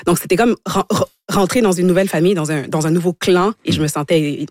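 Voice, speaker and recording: Fast-talking young woman, dry close sound. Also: fairly low pitch, a little mellow, no echo. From Quebec, born in Congo. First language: Lingala. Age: 20s. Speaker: guest, actress. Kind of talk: personal interview answers.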